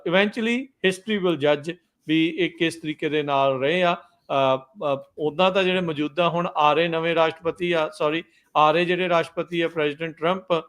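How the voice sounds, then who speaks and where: Male radio news host, slightly high pitch, conversational commentary, halting delivery, English words mixed in. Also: fairly bright tone.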